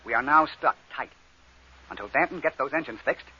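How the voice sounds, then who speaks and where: An actor playing a commanding, curt spaceship captain in an old science fiction radio drama, speaking in a clipped, authoritative way. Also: male voice, mid-range voice, animated, brisk, pushed and bright, slightly rough, heavily acted. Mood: angry, exasperated. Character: hard-pressed, commanding male spaceship captain, curt and gruff. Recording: dull old radio recording, faint noise.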